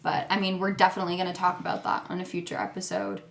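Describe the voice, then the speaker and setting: A woman's voice: fairly low pitch, flat delivery, racing. A young woman hosting a casual family podcast, chatty and asking her relatives leading questions. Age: young adult. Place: family home, around a table. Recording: echoey room.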